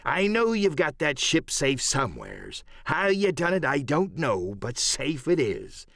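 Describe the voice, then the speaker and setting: A man's voice: a little high, huge pitch swings, a little slow, gravelly, a bit bright, no echo, studio quality, voice pushed up, heavily acted. A man voicing a cunning, blustering old one-legged pirate sea cook in an audiobook, with rustic, emphatic sailor speech. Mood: confiding, scheming. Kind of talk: dramatic audiobook character acting.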